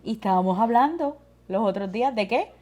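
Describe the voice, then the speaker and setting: Fast-talking woman in her thirties. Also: fairly high pitch, expressive, warm tone, muffled recording. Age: 30s. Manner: switches between Spanish and English mid-sentence. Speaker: podcast co-host. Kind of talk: casual chat.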